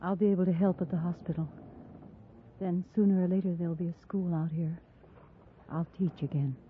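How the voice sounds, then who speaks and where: A gentle, reserved frontier schoolteacher, played by an actress, quietly hopeful. The 1950s radio drama sound is muffled and narrow, with faint noise. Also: female voice, on the low side, fairly even intonation, fairly quick, close to an everyday voice. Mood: wistful, restrained.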